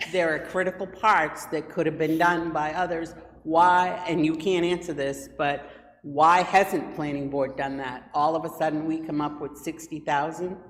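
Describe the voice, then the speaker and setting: Assertive speaker in an echoey town hall. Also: medium pitch. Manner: assertive and argumentative. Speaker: committee member, former selectman. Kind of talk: debating at a town meeting. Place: town hall meeting room. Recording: echoey hall, faint background noise.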